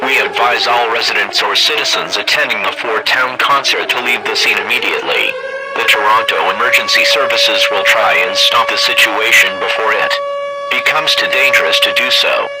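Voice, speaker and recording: A man's voice: fairly low pitch, moderate pace, very noisy. A man reading scripted emergency broadcast announcements in a formal, impersonal manner.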